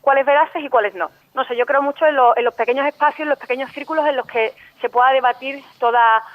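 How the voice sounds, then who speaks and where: A woman journalist, a radio interview guest calling in by phone, explaining in a measured way. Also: sits high. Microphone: phone call. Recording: muffled phone-line audio.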